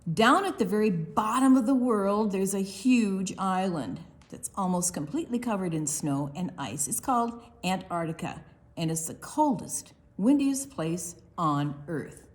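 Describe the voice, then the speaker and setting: Slow, expressive woman reading a storybook aloud. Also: medium pitch. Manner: expressive, deliberate, with quiet asides to young listeners. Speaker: children's librarian hosting online storytime. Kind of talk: read-aloud picture book storytime for preschoolers.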